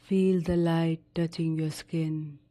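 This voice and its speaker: Slow, low-pitched woman guiding meditation. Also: flat delivery, dark tone. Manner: soothing, unhurried instructions with long pauses. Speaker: meditation guide. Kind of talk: guided sleep meditation narration.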